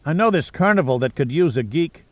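A man's voice: on the high side, lively intonation, brisk, no room sound, lo-fi sound, close to an everyday voice. A man voicing an unflappable, wisecracking male sidekick in an audiobook, sardonic and teasing. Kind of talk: audiobook character dialogue.

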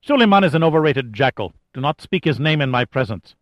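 A man's voice: mid-range voice, normal speed, dry recording, lightly acted. A ruthless, arrogant male militant leader, as acted by an audiobook narrator, hot-tempered and commanding. He sounds clipped and scowling, with a slightly muffled recording. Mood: menacing, contemptuous. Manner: hissing, commanding, snapping.

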